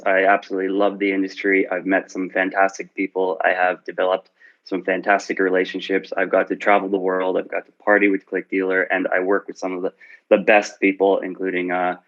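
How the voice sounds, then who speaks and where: Deep, flat-voiced upbeat man. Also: highs cut off. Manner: upbeat, effusive, repeats words. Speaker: guest account manager. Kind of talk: casual self-introduction on an audio chat room. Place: Toronto.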